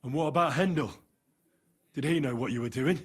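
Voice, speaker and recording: An actor playing a stern, earnest young investigator in a video game drama, questioning in a probing, exasperated way. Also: male voice, mid-range voice, brisk, slightly rough, lightly acted.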